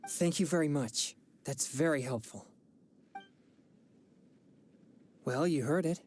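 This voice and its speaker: A woman voicing a polite, earnest young exorcist in a video game, speaking in a measured way. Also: sits low, darkish tone, slight background noise, close to an everyday voice. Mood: thoughtful. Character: earnest young female exorcist hunting evil spirits. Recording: game audio with background music.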